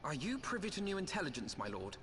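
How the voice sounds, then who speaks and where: An actor playing an earnest, noble leader of a fantasy order in a video game, speaking formally and in measured phrases. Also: male voice, a little high, wildly swooping pitch, fairly bright tone, faint music behind. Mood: concerned, questioning. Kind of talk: scripted fantasy game acting with archaic English.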